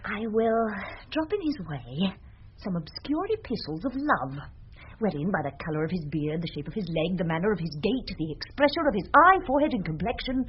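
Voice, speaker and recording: An actress plays a mischievous British serving woman with a wide, swooping delivery. She is sly and witty, in classic radio Shakespeare style. The old recording is dull, with clear background noise. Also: mid-range voice, big pitch swings, fairly quick, lightly acted. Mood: gleeful scheming.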